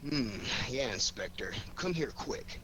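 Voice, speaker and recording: Wry 1940s radio detective, noisy recording. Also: male voice, mid-range voice, expressive, fairly bright tone. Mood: dry confidence. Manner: wry, quick-witted, commanding.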